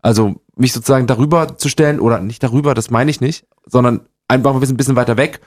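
A man in his forties from eastern Germany speaking standard German, a wordy, fast-talking interview guest who often interrupts himself while chatting humorously. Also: medium pitch. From eastern Germany, Thuringia and Saxony. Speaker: stage performer, guest. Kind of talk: casual humorous interview chat.

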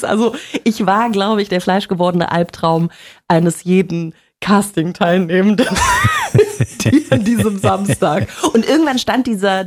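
A woman in her forties, a television presenter telling lively stories in a casual interview, laughing often and using many fillers. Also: medium pitch, background noise. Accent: none, standard German with slight Berlin touches. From Bavaria. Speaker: TV presenter guest.

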